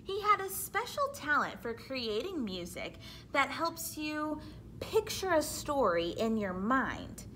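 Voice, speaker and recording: High-voiced woman reading aloud, slow and lively. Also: slight background noise. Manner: deliberate, instructive, expressive. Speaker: teacher reading a picture book aloud. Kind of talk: read-aloud storytelling with explanatory asides to children.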